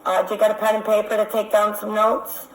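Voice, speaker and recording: A woman's voice: mid-range voice, level tone, a little hiss or hum. Insistent woman caller in an echoey recording. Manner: insistent, accusatory, halting. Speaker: caller making a complaint. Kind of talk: phone complaint. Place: phone call. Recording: echoey phone call recording.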